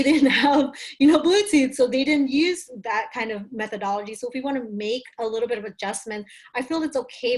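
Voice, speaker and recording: A woman from Bangladesh speaks over a video call with a fairly high voice. Her delivery is earnest and reflective, with lots of casual fillers, as she answers as a wellness teacher on a webinar panel. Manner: earnest, thoughtful, frequent "like" fillers.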